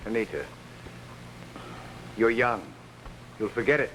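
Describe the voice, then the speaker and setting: Suave conductor husband, noisy recording. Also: male voice, on the low side, slow pace, a bit bright. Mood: tender, resigned. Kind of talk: 1950s television drama acting. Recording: noisy, aged 1950s television soundtrack.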